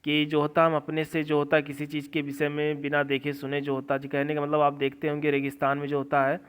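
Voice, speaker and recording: A man with an Indian accent teaching English literature, reading slowly phrase by phrase and explaining it in a repetitive way. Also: fairly high pitch, monotone, fairly bright tone, clean tone.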